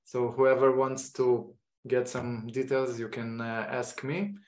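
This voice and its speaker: Israeli-accented male host, halting delivery full of fillers, roomy echo. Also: medium pitch, steady tone, a little mellow. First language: Hebrew. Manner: halting, many fillers and restarts. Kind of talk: online lecture introduction. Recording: echoey room.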